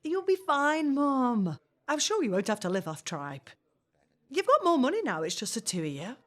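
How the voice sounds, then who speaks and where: An actress playing a reassuring young working-class pregnant woman, gently coaxing, in a 1950s family audio drama. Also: high voice, very animated, moderate pace.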